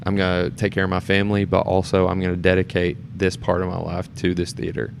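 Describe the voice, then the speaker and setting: A man's voice: sits low, completely flat delivery, fast. A man speaking as a podcast guest in an informal, earnest way, recorded in a shared studio space in a theater. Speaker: podcast guest, theater performer and board member. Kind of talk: casual podcast conversation. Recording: clear background noise.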